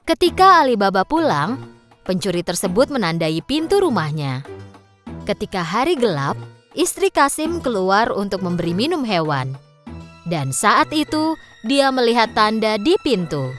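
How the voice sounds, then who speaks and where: A woman's voice: medium pitch, sing-song, moderate pace, fairly bright tone. A woman narrating a scripted storybook tale for children. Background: background music.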